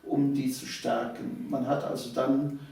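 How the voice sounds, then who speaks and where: A man's voice: medium pitch, level tone, measured pace, gravelly, warm tone. A man tells eyewitness stories conversationally, emphatically repeating phrases. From Cologne, Germany. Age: 70s. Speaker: interview guest, storyteller of local history. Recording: echoey room, distant microphone.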